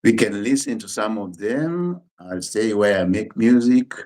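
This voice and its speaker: Kenyan man with a lowish voice. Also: lively intonation, darkish tone. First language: Luo. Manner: unhurried, repeats and restarts phrases, plain simple sentences. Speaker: musician and pastor guest. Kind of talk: casual interview chat.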